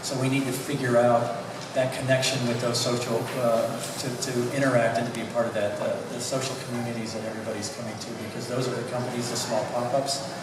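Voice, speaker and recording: Flat-voiced man on a panel, echoey. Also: mid-range voice, fast talker. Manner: hesitant, many restarts. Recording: echoey hall from far off, noisy.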